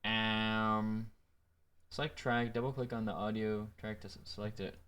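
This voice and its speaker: A man with a fairly low, clean and resonant voice talks casually on a livestream. He takes his time, muttering and thinking aloud as he fiddles with his setup, speaking close to the microphone. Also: level tone, measured pace, a bit bright, clean tone. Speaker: livestreamer and amateur voice actor. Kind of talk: casual livestream chat while fiddling with audio software.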